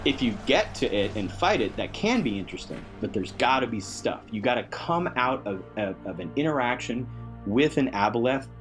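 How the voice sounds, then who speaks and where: A man's voice: mid-range voice, big pitch swings, normal speed, fairly bright tone, soft background music. A man talking casually and earnestly in a podcast discussion about games, with emphatic delivery. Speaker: podcast panelist.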